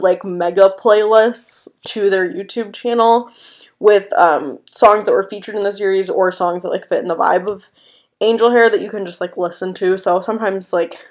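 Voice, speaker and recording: A woman's voice: medium pitch, a little mellow. Casual young woman giving commentary. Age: young adult. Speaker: video essayist. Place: small furnished room indoors.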